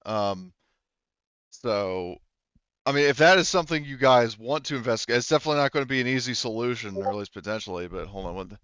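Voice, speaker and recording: A man narrating a tabletop roleplaying game as game master, explaining things informally and haltingly as he improvises. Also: medium pitch, animated, fairly bright tone, clean tone, no echo, highs cut off.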